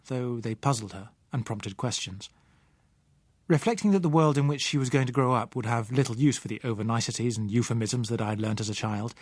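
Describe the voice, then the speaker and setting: A British man narrates a first-person novel. His voice is mid-pitched and his delivery is measured and literary. The recording is dry, with very little room around the voice. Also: a little mellow, dry recording. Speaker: audiobook narrator.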